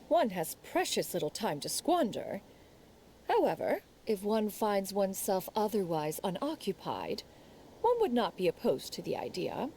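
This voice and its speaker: Mellow, haughty immortal lady master. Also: medium pitch, a little hiss or hum. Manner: haughty, formal, archaic diction, says "one". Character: proud, aloof immortal crane adeptus woman, stern elder master. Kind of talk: animated fantasy game acting.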